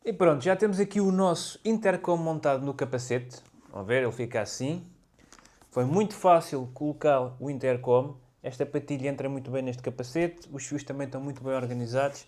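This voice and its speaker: A man with a European Portuguese accent presents a product review in a casual, explanatory way. His voice sits at a medium pitch, and he speaks as a vlogger would, directly and conversationally to his viewers. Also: fairly quick, darkish tone. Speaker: motorcycle vlogger reviewing gear.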